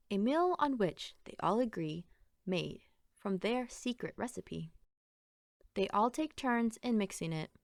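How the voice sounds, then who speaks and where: Woman, children's read-aloud, lively swooping intonation, slow pace, theatrical emphasis, fairly high pitch. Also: dry recording, clean studio sound. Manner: expressive, theatrical storytelling with emphatic phrasing. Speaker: picture book reader.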